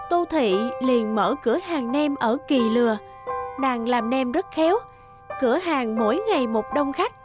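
High-voiced woman telling a fairy tale. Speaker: narrator. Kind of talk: scripted fairy-tale narration. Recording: loud children's music behind. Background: loud children's music.

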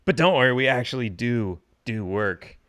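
A man's voice: mid-range voice, animated, moderate pace, a bit bright, no room sound, clean studio sound. A man in his thirties hosting a casual interview over a video call from home, joking and teasing his guest. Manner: joking, teasing, laughs often. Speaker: podcast host. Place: home room. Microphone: video call with desk mic.

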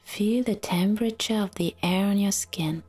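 A woman guiding a relaxation meditation in a soft, soothing, half-whispered voice with long pauses. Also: mid-range voice, breathy edge. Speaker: yoga nidra guide.

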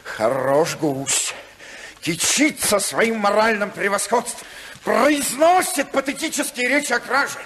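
A domineering, indignant husband in a 1950s radio play. His voice is very high for a man, and he declaims with sarcasm and accusation. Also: lively intonation, unhurried, fairly bright tone, a little hiss or hum. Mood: outraged, scornful.

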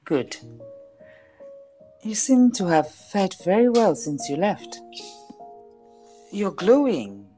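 A woman's voice: medium pitch, very animated, slow, long pauses, mellow, faint music behind. An actress playing an imperious, sardonic older mother-in-law, haughty and commanding. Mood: coolly gracious. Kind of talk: film drama acting.

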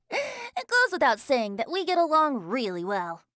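A carefree young bard god with a high, boyish voice that leaps about in pitch, as a woman plays him. He teases and jokes in a sing-song way. The game recording is close and dry. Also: wildly swooping pitch, unhurried, sharp tone, no echo, heavily acted. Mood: playful. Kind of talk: game character voice line.

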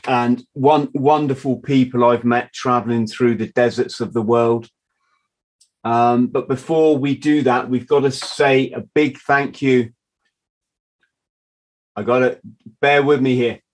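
Slow, chatty male podcast host. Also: mid-range voice, darkish tone. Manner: chatty, self-deprecating, laughs often. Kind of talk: casual interview chat. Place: home office with a wall map. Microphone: video call webcam.

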